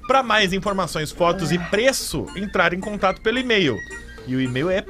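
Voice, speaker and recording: A man's voice: sits high, animated, moderate pace. A radio co-host, a man with a southern Brazilian accent, reading listener messages aloud with casual asides. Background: music bed.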